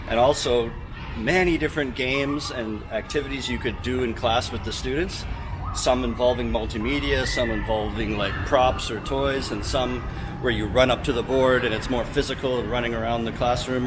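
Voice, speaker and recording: A young man from Canada talking casually to camera as a vlogger about teaching abroad, relaxed and chatty with many fillers. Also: medium pitch. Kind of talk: casual vlog talk to camera. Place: outdoors in a city street. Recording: outdoor street noise behind.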